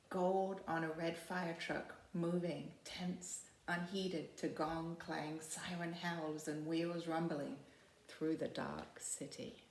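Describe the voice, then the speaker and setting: A woman reading a picture book aloud like a teacher, in expressive storytelling with casual, simply worded asides and deliberate, phrase-by-phrase delivery. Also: lowish voice, vocal fry. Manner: explains to young listeners in simple, informal words. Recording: roomy, echoey sound.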